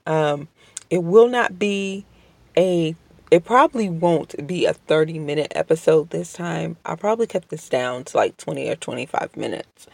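A woman hosting a casual solo podcast from home, under the weather with a strained voice, talking slowly with many hesitations and apologies. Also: deep voice, gravelly, a little mellow, no room sound. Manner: slow, hesitant, many ums and restarts; polite and apologetic. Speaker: podcast host. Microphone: home podcast microphone.

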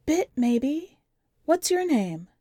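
A woman's voice: sits high, sing-song, extremely slow, mellow, dry recording, clean studio sound, pitched above the performer's own voice, heavily acted. A woman voicing a scrawny nine-year-old girl in an audio story, plain-spoken, curious and matter-of-fact. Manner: casual, plain-spoken, childlike questioning. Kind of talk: audio short story character voice.

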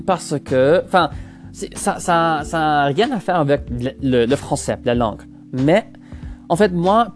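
A man's voice: a little high, darkish tone. Canadian guy in his 20s, france-style french accent, rambling solo podcast, lots of restarts, faint music underneath. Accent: Parisian-style French learned in France. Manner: rambling, hesitant, often restarts phrases. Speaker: podcast host. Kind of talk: casual solo podcast monologue.

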